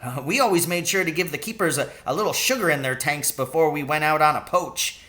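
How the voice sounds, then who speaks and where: A man's voice: on the high side, brisk, bright, forceful tone, voice pushed up. A man voicing a sly old country taxi driver and poacher, with rustic, colloquial, exclamatory speech in a storybook reading. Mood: crafty.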